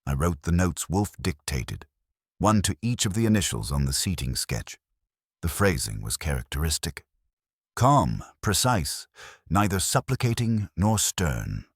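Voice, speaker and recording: Very deep-voiced male story narrator. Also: very animated, measured pace, husky, no echo, studio quality. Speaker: audiobook narrator. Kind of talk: scripted detective fiction narration.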